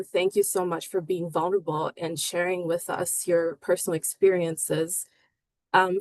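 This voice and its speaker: A woman's voice: fairly low pitch, a little mellow, dry recording, rough recording. A woman from Bangladesh moderating a panel discussion, hesitant and full of fillers.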